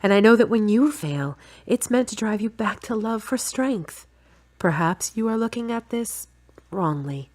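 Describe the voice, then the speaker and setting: An actress as a sensible, exasperated girlfriend in an audio drama. Her voice sits at a middling pitch, and she speaks in a frank, pointed and articulate way. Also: expressive, measured pace, darkish tone. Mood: concerned, gentle.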